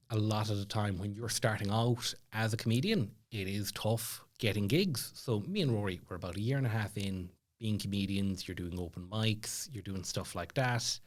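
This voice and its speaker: A man with an Irish accent telling stories casually as a podcast guest, chatty and self-deprecating, like a stand-up comedian off stage. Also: fairly low pitch. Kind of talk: casual storytelling chat.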